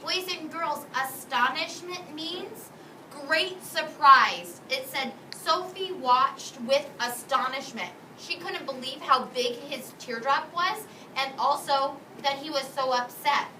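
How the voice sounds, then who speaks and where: A woman's voice: sits high, takes it slowly, sharp tone. A woman teacher doing an interactive classroom read-aloud, instructive, carefully enunciating vocabulary for young pupils. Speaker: second-grade teacher. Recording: echoey classroom, distant mic, noisy background.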